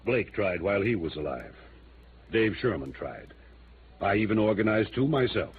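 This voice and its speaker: An actor playing an earnest, uneasy small-town mayor in a 1950s radio drama, speaking formally with slight hesitations. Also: male voice, lowish voice, big pitch swings, moderate pace. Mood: uneasy, resigned. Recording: noisy, narrow-band old radio recording.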